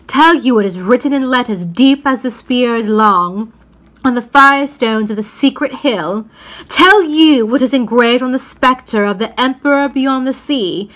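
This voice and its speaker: A woman voicing a cruel, imperious witch queen in a storybook reading, with a shrill, declamatory delivery. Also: a bit bright.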